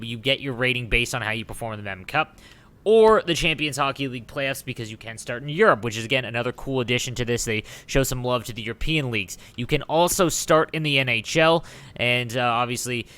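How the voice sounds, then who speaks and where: A man's voice: mid-range voice, sharp tone. A man hosting a gaming video, giving casual, enthusiastic commentary in an informal way. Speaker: gaming channel host.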